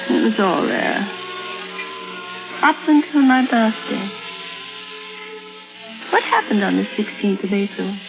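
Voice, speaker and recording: An actress playing an anguished woman patient with lost memory, in an intense, halting 1950s radio drama style. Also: fairly high pitch. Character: anguished amnesiac woman patient who believes she murdered. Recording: muffled vintage radio recording with music.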